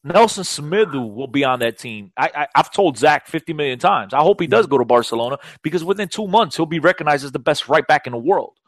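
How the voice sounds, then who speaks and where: A man from Portugal talks football on a sports podcast with a slightly high voice. He is emphatic, repeats his points for stress and laughs easily. The sound is dry and close to the mic. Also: expressive, fast, hardly any pauses, no echo. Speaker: podcast panelist. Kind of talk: casual sports debate.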